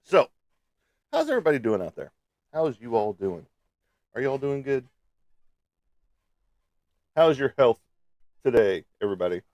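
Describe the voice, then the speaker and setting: A man's voice: medium pitch, sing-song, moderate pace, long pauses, a little mellow, no echo. A man with a slightly stuffy nose, chatting casually and playfully to viewers as a livestream host. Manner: playful, teasing, peppered with sung sound effects.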